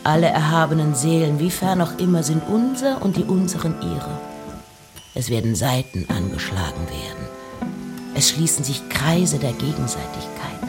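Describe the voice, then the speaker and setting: An actress playing an intense, eccentric nineteenth-century woman poet, reciting in a literary radio play with deliberate, theatrical phrasing and pauses. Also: low-pitched, very animated. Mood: exalted, fervent. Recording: music behind the voice.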